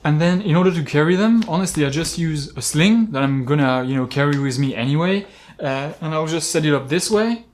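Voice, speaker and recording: A man talking casually as an outdoor climbing vlogger, explaining gear with examples in a halting, rambling way. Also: a little high. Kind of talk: casual product-talk vlog, explaining with examples.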